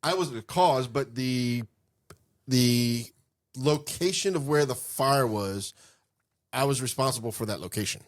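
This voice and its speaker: A man's voice: mid-range voice, a little slow. A man telling a story casually on a podcast, rambling and trailing off partway through sentences.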